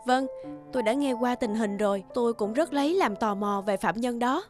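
A woman voicing a calm, friendly female lawyer in a dubbed cartoon. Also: a little high, normal speed, over music, natural voice. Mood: gentle. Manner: gentle, friendly.

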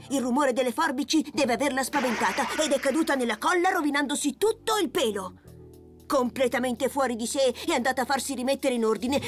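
High-voiced, unflappable cartoon detective creature. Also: female voice. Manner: deliberate, self-assured explaining. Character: calm, methodical cartoon detective, a male shape-shifting blob creature. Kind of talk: cartoon dub acting.

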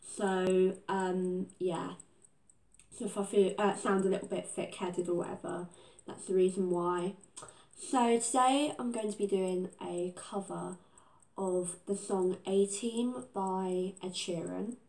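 A woman's voice: mid-range voice, steady tone, slow, dark tone, echoey room. A teenage girl with a bad cold, chatting casually and self-deprecatingly in her living room before and after singing. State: congested from a cold. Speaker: vlogger singing a cover. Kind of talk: casual chat and a cappella singing. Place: living room at home, indoors.

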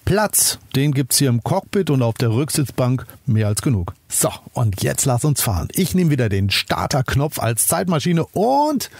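A man's voice: medium pitch, sing-song, moderate pace, vocal fry, few pauses, darkish tone, a little hiss or hum. A man hosting a car podcast, narrating a test drive in an upbeat, chatty way and talking straight to the listener. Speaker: podcast host, car reviewer. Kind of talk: scripted car review narration.

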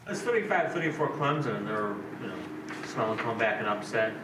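A man's voice: medium pitch, expressive, fairly quick. A man reporter asking chatty, stacked questions at a press conference, heard from a distance. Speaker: sports reporter. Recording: distant, echoey, noisy room mic.

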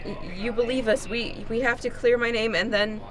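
A woman playing an earnest, insistent young prisoner who pleads haltingly in an improvised old-time radio play. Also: on the high side, steady tone, music in the background, close to an everyday voice. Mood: urgent, pleading.

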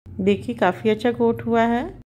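Female cooking-channel host, calm and instructive, talks through every step as she cooks. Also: fairly high pitch, moderate pace. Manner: calm, instructive, narrates each action as she does it.

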